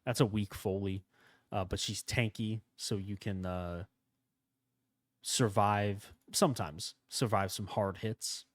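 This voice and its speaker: A man with a slightly hoarse voice from a starting cold, casually commenting on his gameplay as he thinks aloud. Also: lowish voice, slow, dry recording, studio quality. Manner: rambling, relaxed, thinking aloud. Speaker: gaming channel host.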